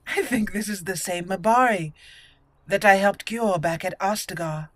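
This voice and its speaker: A woman's voice: medium pitch, moderate pace, a bit bright, no room sound. A woman hosting a roleplay game playthrough, giving casual, chatty, playful commentary. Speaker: gaming channel host.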